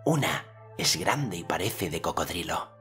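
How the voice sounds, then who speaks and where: A man's voice: sits low, very animated, measured pace, pushed and bright, soft background music, exaggerated voice. An adult man voicing a young boy hero in an audiobook, speaking in a solemn, formal old-fashioned way. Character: earnest boy hero, commanding storyteller.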